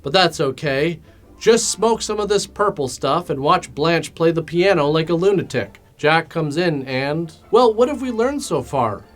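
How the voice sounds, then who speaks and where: A man's voice: fairly high pitch, lively intonation, a little slow. A man narrating a comedic film recap in a sarcastic, mock-dramatic and casually crude way. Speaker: host of a film commentary show.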